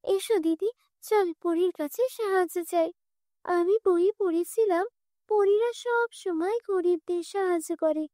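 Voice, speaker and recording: A woman's voice: very high-pitched, measured pace, dark tone, dry recording, clean studio sound. A woman voicing a poor young orphan girl in a children's cartoon, earnest and pleading.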